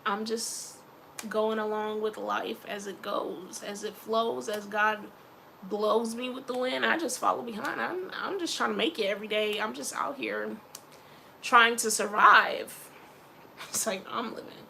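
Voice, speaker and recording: A woman's voice: medium pitch, measured pace, gravelly, bright, forceful tone, a little hiss or hum. A young woman from Philadelphia with a Philly accent, talking casually and rambling in a personal vlog, often laughing and mentioning her faith. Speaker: vlogger.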